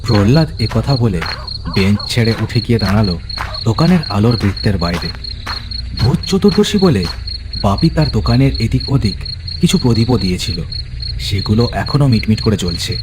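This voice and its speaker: A man's voice: fairly low pitch, lively intonation, moderate pace, background noise. A man narrating a horror story in a dramatic, scripted storytelling style.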